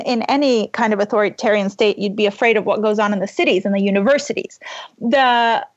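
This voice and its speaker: A woman's voice: on the high side, breathy edge, no room sound, lo-fi sound. A woman from Iran speaking as an interview guest, earnest and reflective, often breaking off and restarting her thoughts. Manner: earnest, reflective, self-interrupting with repeated "you know". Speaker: podcast guest, author. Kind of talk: conversational interview answers.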